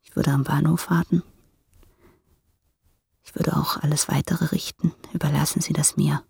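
An actress playing a tired, yearning married woman, speaking in a breathy, subdued, intimate way in a radio drama. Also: sits low, flat delivery, moderate pace, a little mellow, dry recording, studio quality, exaggerated voice. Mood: hushed, inviting. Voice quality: breathy, husky. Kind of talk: intimate radio play acting.

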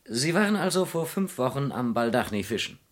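An actor playing a polite, persistent insurance investigator in a German radio crime play, questioning courteously and deliberately. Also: male voice, medium pitch, very animated, natural voice. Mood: courteous, probing.

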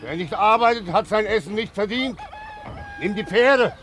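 A stern farmer father in a radio play. He calls out gruff orders in a high, raised voice, driving his son to work. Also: moderate pace, fairly bright tone, a little hiss or hum. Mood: stern, commanding. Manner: calling out commands, gruff. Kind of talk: German radio drama acting.

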